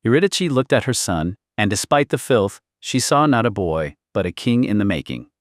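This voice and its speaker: A man's voice: fairly low pitch, very animated, normal speed, no echo, studio quality. A man narrating a historical saga as an audiobook, in a solemn, theatrical scripted style.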